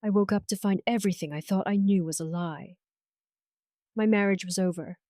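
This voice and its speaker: A woman's voice: mid-range voice, normal speed, warm tone, no echo, clean studio sound, close to an everyday voice. A woman voicing a tender, resolute young survivor in an audio romance story, speaking earnestly and with measure.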